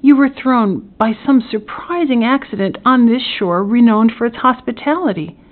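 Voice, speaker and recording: A woman voicing a benevolent, grave male magistrate with formal, measured speech in a storybook reading. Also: fairly high pitch, narrow, tinny audio, pitched above the performer's own voice, lightly acted. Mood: sympathetic.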